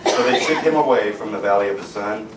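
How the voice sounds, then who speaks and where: A man's voice: fairly low pitch, fast talker. A man reading humorous rhyming verse aloud to a family gathering. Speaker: family member reading a tribute poem. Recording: echoey, distant, loud crowd noise.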